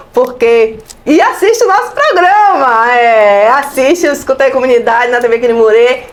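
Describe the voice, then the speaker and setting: Effusive TV hostess. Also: female voice, on the high side. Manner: excitable, effusive, laughing often. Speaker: TV show host. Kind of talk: community TV interview. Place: TV studio.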